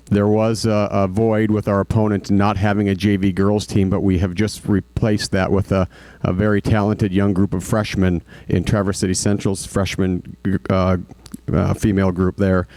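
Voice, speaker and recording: A man giving a school activities report at a board meeting, halting, with many fillers and restarts. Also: on the low side, a little mellow, no room sound. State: recovering from hoarseness.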